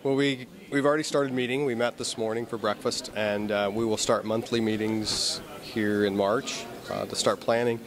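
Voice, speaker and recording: A man's voice: on the low side, steady tone, normal speed, hardly any pauses. A man in his forties, a committee chair, answering an interviewer matter-of-factly in a hotel conference lobby. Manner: matter-of-fact, planning-minded. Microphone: handheld interviewer mic. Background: crowd chatter.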